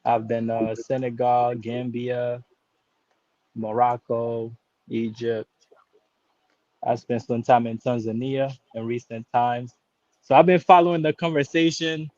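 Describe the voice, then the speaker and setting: A man's voice: mid-range voice, little pitch movement, measured pace, long pauses, darkish tone, no echo, highs cut off. A man in his thirties, born in Haiti and raised in Florida, calling in to a live stream and talking casually with many restarts and fillers. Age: 30s. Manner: hesitant, many restarts and fillers, laid-back slang. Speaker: caller.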